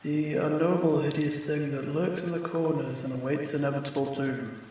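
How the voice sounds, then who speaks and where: A man playing a friendly, apologetic sleep paralysis demon in an ASMR comfort roleplay, speaking gently and haltingly, with reassurance. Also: a little high, steady tone, slight background noise, lightly acted. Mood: reassuring, supportive. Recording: very echoey, distant, rain sounds behind. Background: rain.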